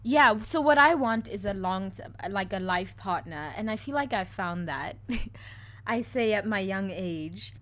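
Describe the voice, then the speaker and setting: A South African woman in her twenties talks openly on a podcast, with a mild South African accent. She is blunt and crude in a relaxed, joking way, and she breaks into laughter often. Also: medium pitch, a little slow. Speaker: podcast co-host. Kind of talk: casual chat.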